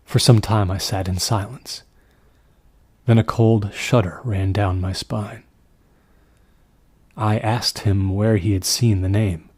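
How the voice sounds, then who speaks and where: Low-voiced man narrating horror, close and dry. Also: fairly even intonation, a little mellow, breathy edge, dry recording. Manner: measured, deliberate storytelling. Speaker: audiobook narrator. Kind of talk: scripted narration of a classic horror story.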